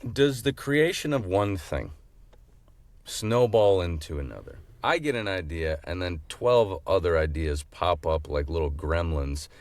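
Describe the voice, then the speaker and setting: Animated low-voiced man bantering. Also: unhurried, no room sound. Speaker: podcast co-host. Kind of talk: casual, joking banter.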